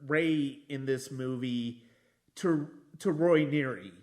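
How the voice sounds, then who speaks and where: A man in a casual film discussion as a podcast host, speaking haltingly and often circling back to rephrase. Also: mid-range voice, a little mellow.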